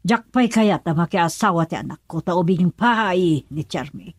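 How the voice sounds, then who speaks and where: A woman's voice: fairly low pitch, lively intonation, moderate pace, dry recording, clean studio sound. A woman playing an indignant, accusing mother in an Ilocano radio drama, scolding and insistent. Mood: stubborn, worried. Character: indignant, accusing mother of a runaway young daughter.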